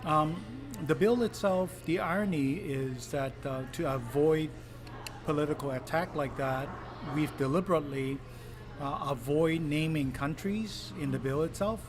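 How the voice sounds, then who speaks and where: A man from Hong Kong, a politician giving earnest interview answers with many pauses and fillers. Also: a little high, over music. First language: Cantonese. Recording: clip with noisy ambience.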